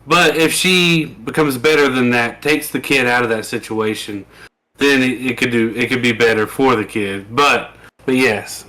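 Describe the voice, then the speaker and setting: A man with a bright, sharp-edged voice joins a podcast discussion at a middling pitch. He builds his point in loose, run-on sentences, stacking one clause onto the next. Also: low-quality recording. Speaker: podcast co-host. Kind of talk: casual discussion.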